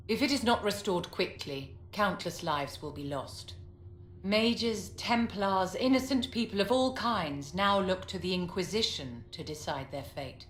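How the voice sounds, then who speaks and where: An actress playing a proud, coolly condescending middle-aged female court mage in a video game, speaking with poised, imperious authority. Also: fairly low pitch. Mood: sardonic, imperious. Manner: poised, imperious, lecturing, addresses listener as my dear. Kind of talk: video game dialogue acting. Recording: roomy echo with clear background noise.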